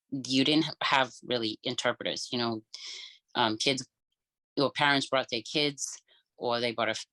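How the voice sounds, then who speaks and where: A woman whose first language is Cape Verdean Creole, sharing personal experiences in a relaxed podcast chat and pausing often to think. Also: rumbling low, dry recording, poor audio. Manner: thoughtful, pauses often with "um". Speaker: podcast co-host. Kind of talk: casual personal conversation.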